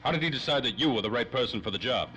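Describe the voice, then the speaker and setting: A man acting a brisk, wary hard-boiled detective. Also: mid-range voice, expressive, bright, forceful tone, faint music behind. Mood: probing. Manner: clipped, wisecracking tough-guy talk. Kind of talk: 1930s old-time radio drama acting.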